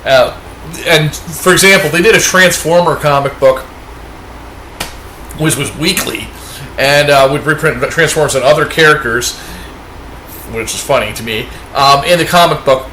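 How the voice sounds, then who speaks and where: A man's voice: fairly high pitch, normal speed, long pauses, sharp tone, a little hiss or hum. A man with a Canadian accent chatting casually about his comic finds, rambling and upbeat, with many fillers. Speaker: comic book reviewer. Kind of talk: casual show-and-tell chat. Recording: echoey room.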